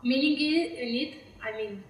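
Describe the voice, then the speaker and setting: A woman's voice: a little high, normal speed. A young woman with a Slovenian accent, answering interview questions in English with many fillers and restarts. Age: young adult. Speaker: interviewee, PhD candidate. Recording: echoey room, mic far off.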